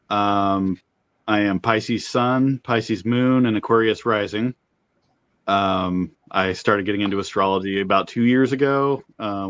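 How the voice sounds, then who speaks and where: A man's voice: on the low side, fairly bright tone, no echo, dull audio. A man introducing himself on a video call, thoughtful and hesitant with frequent fillers. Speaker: new guest. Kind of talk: casual chat.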